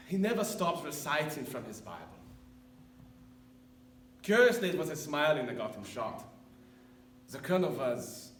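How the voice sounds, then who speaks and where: A man performing a dramatic monologue as a haunted, repentant older former camp officer, speaking solemnly and deliberately with weighty pauses. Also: mid-range voice, fairly bright tone. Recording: echoey room, distant mic, faint noise.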